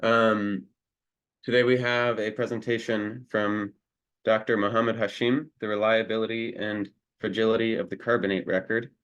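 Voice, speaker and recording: This is a man with a fairly low voice hosting a virtual seminar. He reads a prepared introduction over a video call. His delivery is plain, with small slips that he corrects as he goes. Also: level tone, normal speed, fairly bright tone, smooth, dull audio. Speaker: seminar host. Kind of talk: reading a speaker introduction.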